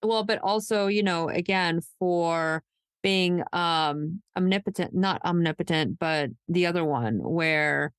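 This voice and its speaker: A woman's voice: lowish voice, unhurried, smooth, no room sound, studio quality. A woman hosting a true crime podcast, discussing it casually in a blunt, opinionated way. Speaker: podcast host.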